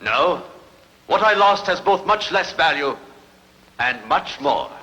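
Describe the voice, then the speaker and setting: A man's voice: on the high side, big pitch swings, slow, bright, forceful tone, highs cut off, full character voice. A man dubbing an aggrieved, jealous ancient nobleman, speaking in a formal and pointed courtly manner. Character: aggrieved, jealous ancient nobleman accusing before his king. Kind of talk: dramatic film dubbing. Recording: long hall reverb.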